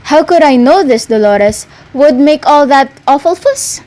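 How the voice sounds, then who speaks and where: A woman's voice: high voice, lively intonation, a little slow, a little mellow. A woman playing a fretful, demanding mother who questions insistently in an amateur radio play. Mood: weary.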